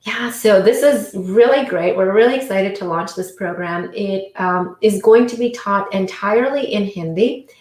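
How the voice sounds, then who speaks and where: A woman's voice: mid-range voice, normal speed, few pauses, a little mellow. A woman from India, a physician guest on a talk show, explaining things in an upbeat way. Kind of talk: interview answers. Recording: echoey room sound.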